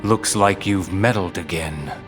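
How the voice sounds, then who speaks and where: An actor playing a stern, menacing commander in a video game, curt and imperious. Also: male voice, low-pitched, measured pace, over music. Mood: cold, threatening. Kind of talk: video game cutscene voice acting.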